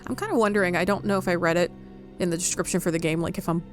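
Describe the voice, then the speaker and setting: A woman's voice: lowish voice, very fast, faint music behind. A woman giving casual playthrough commentary on a game, musing and thinking aloud a little hesitantly. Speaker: gaming commentator.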